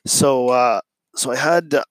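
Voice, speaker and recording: A man's voice: mid-range voice, a little slow, dry recording. A male teacher casually recounting a classroom story, rambling with many fillers and restarts and quoting himself along the way.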